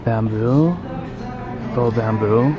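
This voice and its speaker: A man's voice: medium pitch, extremely slow, lo-fi sound, over loud music. A man hosting a casual vlog store tour, enthusiastically praising items as he walks through a large store. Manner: enthusiastic, gushing over items. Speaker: vlog host. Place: large indoor furniture outlet store.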